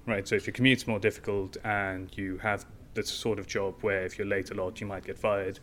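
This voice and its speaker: A man's voice: on the low side, level tone, brisk, fairly bright tone, slight background noise. A man talking informally as an interview guest, explaining things with everyday examples. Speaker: guest, tech company founder.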